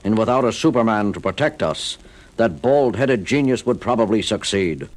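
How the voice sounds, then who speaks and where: An actor playing a solemn, regretful US President in an audio drama, formal and deliberate in delivery. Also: male voice, lowish voice, animated, darkish tone, close to an everyday voice. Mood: somber, worried. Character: grave, regretful male US President. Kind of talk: theatrical audio drama acting.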